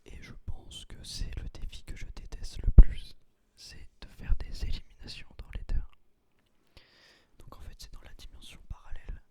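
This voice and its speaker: A man whispering ASMR gameplay commentary in a breathy voice, explaining things casually with slang. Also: moderate pace. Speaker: gaming video creator.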